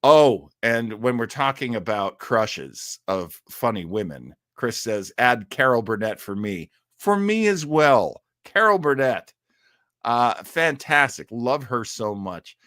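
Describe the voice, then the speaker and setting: Casual man host with animated, swinging pitch. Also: slow, no echo, clean studio sound. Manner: rambling, enthusiastic asides with frequent hesitations. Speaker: live-stream host of an old-time radio show. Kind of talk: casual chat, reading and answering viewer comments.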